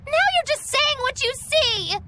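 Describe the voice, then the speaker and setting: Shrill, theatrical, mischievous witch. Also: lively intonation, measured pace, heavy background noise. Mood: indignant. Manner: dramatic pleading and teasing. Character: playful, theatrical, scheming young female witch. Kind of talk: English video game voice acting.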